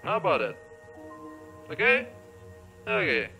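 A man records casual game commentary in a bright, very creaky voice. He mutters and repeats phrases at an unhurried pace, exclaiming as he plays. There is slight noise on the home recording. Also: sits high, huge pitch swings, soft background music. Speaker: gamer recording a let's play.

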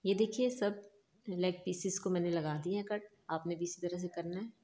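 Low-voiced woman calmly guiding a recipe. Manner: calm, instructive, unhurried. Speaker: cooking channel host. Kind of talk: step-by-step recipe voice-over. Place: home kitchen.

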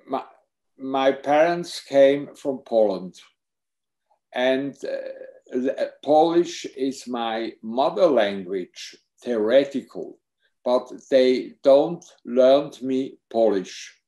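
Slow, halting German-accented older man. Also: mid-range voice, dark tone. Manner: halting, searching for English words. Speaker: psychotherapist and film subject. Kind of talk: Q&A on a video call. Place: home room with bookshelves. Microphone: laptop webcam mic on video call.

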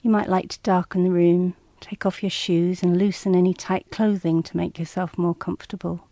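A woman reading scripted guided relaxation instructions in a calm, measured, soothing and slightly clinical manner. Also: lowish voice, no echo.

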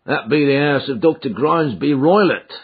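A man's voice: a little high, expressive, normal speed, slightly rough, pitched above the performer's own voice, heavily acted. A man voicing a helpful, deferential rustic carriage driver in an audiobook, plain-spoken and obliging. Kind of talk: audiobook character dialogue. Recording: muffled, thin phone-like audio.

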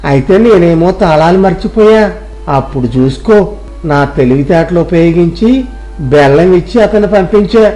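An eager, honest young shop helper, acted by a man for a moral story. His voice is mellow and fairly high. He speaks earnestly and respectfully over soft background music. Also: lively intonation, normal speed, close to an everyday voice, poor audio. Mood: proud.

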